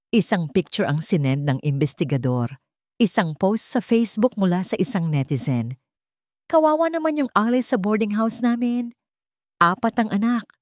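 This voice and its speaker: A woman's voice: fairly low pitch, a little mellow, dry recording, clean studio sound. A woman reading a scripted love story as narrator, in a dramatic storytelling style.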